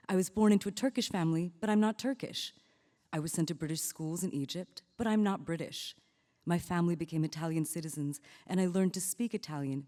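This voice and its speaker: A woman giving a prepared, measured introduction of an author on stage. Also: fairly low pitch, mellow. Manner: measured, formal delivery. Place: auditorium on stage. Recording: hall reverb, stage mic.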